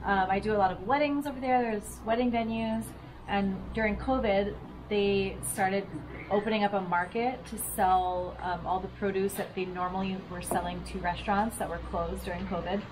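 A young woman from Hawaii chatting casually as a guest on an outdoor porch, relaxed with lots of fillers. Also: medium pitch, background noise. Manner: relaxed, filler-heavy, laughs. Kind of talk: casual chat.

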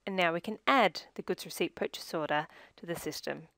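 A woman reading scripted software tutorial narration in a measured, instructional manner, recorded as a close-miked voice-over. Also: fairly low pitch, gravelly, no echo.

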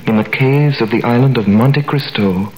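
A man's voice: medium pitch, normal speed, a little mellow, lightly acted, low-quality recording. An actor playing a young prisoner who narrates his story in a 1930s radio drama, with earnest, dramatic delivery. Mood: amazed, earnest. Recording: old radio recording with faint hiss.

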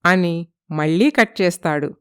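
Clear-voiced woman storyteller. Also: fairly low pitch, measured pace, fairly bright tone, no room sound, studio quality. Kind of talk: scripted story narration.

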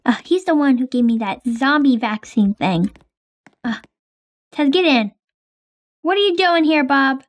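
A woman with a high voice plays her part in a casual game roleplay. She talks in a hesitant, chatty way with many fillers. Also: lively intonation, a little slow, pauses often. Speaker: roleplay player.

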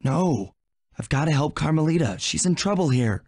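An actor playing a suave, wry young raccoon thief in a video game, casual and confident. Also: male voice, fairly high pitch, sing-song, normal speed, darkish tone, husky, dry recording, clean studio sound. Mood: concerned.

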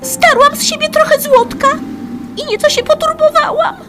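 A woman's voice: very high voice, normal speed. A woman voicing a small, sweet and friendly fallen star in a children's audio play, with music under her. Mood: happy, friendly.

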